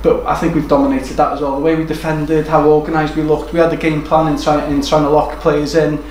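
Higher-pitched man, echoey room. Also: level tone, fairly quick, a little mellow. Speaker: football manager. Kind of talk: post-match interview. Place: small room indoors overlooking a football pitch. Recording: echoey room with background noise.

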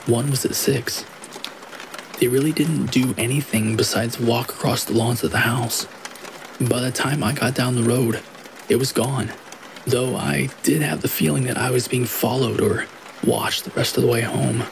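Male narrator for creepy tales, mid-pitched with a gravelly fry, rain clearly audible behind. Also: normal speed. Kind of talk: scripted narration of scary stories. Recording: steady rain noise behind the voice. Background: rain falling.

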